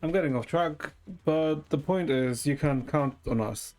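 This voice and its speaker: A man's voice: fairly high pitch, unhurried, darkish tone. A man giving casual gameplay commentary and reading story dialogue aloud, with musing, muttered asides. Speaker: game streamer. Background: video game music.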